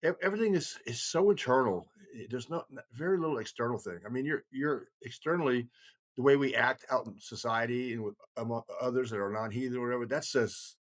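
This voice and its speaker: A man's voice: medium pitch, fast. An older man in casual podcast conversation, rambling but emphatic, with blunt, salty language. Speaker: kindred chieftain.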